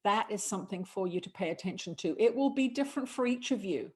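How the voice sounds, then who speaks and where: A middle-aged woman, a spiritual channeler hosting a show, giving calm, measured guidance as a collective "we" and chatting with callers. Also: medium pitch.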